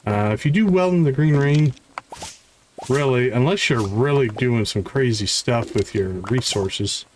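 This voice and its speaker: A man's voice: medium pitch, a little slow, darkish tone, slight background noise, poor audio. A man doing casual live commentary as he plays a game, chatting in a friendly way with viewers. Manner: friendly, greets viewers by name. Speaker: livestream host playing a farming game.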